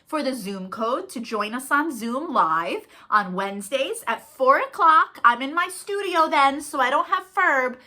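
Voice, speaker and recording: Bright, high-pitched woman hosting children's storytime. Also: animated, a little slow, few pauses. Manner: playful, encouraging, talks directly to young children. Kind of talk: children's storytime read-aloud with warm-ups.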